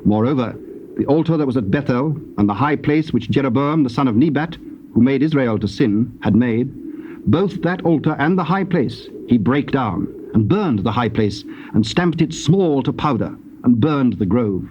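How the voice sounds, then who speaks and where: A man narrating scripture from a script in a measured, formal manner. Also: mid-range voice, lively intonation. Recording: dull cassette transfer with faint noise.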